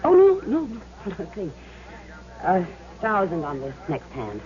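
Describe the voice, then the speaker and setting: On a muffled old radio recording, a bewildered, slangy woman who is out of her depth. Her pitch swings widely as she hesitates and frets her way through the radio play. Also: huge pitch swings, a little slow, darkish tone. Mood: nervous. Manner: flustered, plain-spoken, slangy. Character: bewildered, hesitant woman, a reluctant fake medium. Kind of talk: 1970s radio drama acting.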